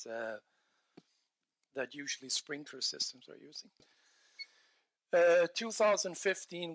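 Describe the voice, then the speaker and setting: Man, forties, conference presenter, bright tense voice, slightly high, dry close sound. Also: no room sound. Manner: earnest, animated gestures, frequent restarts. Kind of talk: technical conference talk. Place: large conference hall. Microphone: headset mic.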